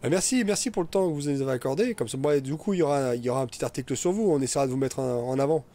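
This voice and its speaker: Fast, mellow polite reporter played by a man. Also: medium pitch, lightly acted. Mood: courteous. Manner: courteous, formal, hesitant phrasing. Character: polite, eager male newspaper reporter. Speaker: interviewer. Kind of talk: improvised role-play interview.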